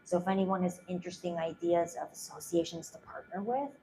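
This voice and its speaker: A soft-spoken woman giving a measured pitch about her coaching work, then answering questions. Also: steady tone, slight background noise, rough recording. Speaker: presenting founder. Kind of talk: pitch presentation and Q&A.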